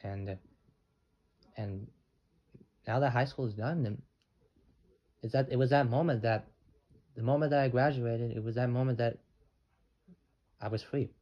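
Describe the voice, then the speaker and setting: An eighteen-year-old man talking in a rambling vlog, halting and restarting his sentences often. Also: medium pitch. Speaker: channel owner. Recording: dull lo-fi with faint noise.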